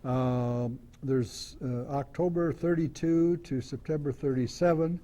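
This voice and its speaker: A man interviewing a guest informally at a club meeting, haltingly working from notes and rephrasing his questions. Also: mellow. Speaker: interviewer at a radio history club. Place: club meeting before a small audience. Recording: rumbly room noise behind the voice.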